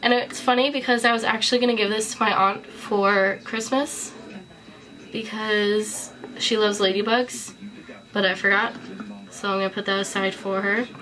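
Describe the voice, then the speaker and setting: A woman's voice: mid-range voice, steady tone, moderate pace, bright, forceful tone, low-quality recording. A woman in her twenties with a cold, chatting casually to the camera in a bedroom as she shows off a collection. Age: 20s. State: sick with a cold. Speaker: vlogger. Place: small bedroom, indoors.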